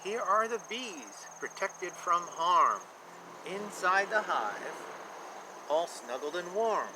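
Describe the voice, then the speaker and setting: A man in his fifties reads a picture book aloud in a lively, slightly high voice that swings up and down. He explains things simply and emphatically, repeating himself for young children. He is recorded outdoors, with clear background noise and insect chirps. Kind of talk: read-aloud storytelling with explanatory asides. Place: outdoor backyard beside a beehive. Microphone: camera at about arm's length.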